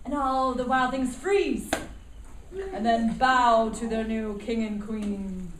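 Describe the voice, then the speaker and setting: A woman narrating a children's puppet show live, animated and encouraging as she directs the children. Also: on the high side, slow. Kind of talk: live storytelling to young children. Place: indoor room at a school show. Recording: noisy echoey room, distant microphone.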